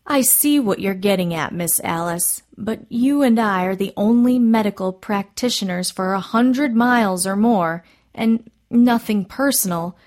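A woman's voice: medium pitch, darkish tone, natural voice. A woman voicing a stubborn, wry male country doctor who protests indignantly in an audiobook story.

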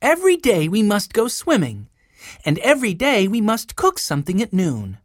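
A woman's voice: mid-range voice, huge pitch swings, normal speed, no echo, clean studio sound, pitched above the performer's own voice. A woman voicing a sensible, cheerful older girl who organizes her siblings in a children's story. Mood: cheerful, practical.

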